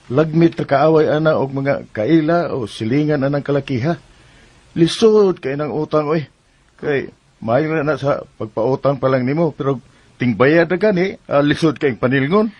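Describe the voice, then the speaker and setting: An actor playing a cautious, doubtful husband in Bisaya radio drama, hesitant, with a Cebuano accent and slightly muffled sound. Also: male voice, a little high, moderate pace, darkish tone, no echo, lightly acted. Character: cautious, doubtful husband, wary of lending on credit.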